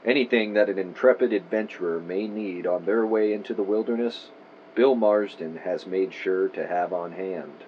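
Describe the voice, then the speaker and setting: A man's voice: sits low, darkish tone, highs cut off, a little hiss or hum. An actor playing an earnest, inquisitive podcaster in his thirties in an audio drama, with a theatrical bent. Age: 30s. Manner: measured, theatrical when narrating. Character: earnest 32-year-old male podcaster, inquisitive, theatrical narrator. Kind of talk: audio drama, moody scripted narration and interviewing.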